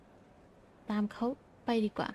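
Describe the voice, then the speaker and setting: A woman's voice: racing, dark tone. A woman game streamer giving casual commentary as she plays through a story.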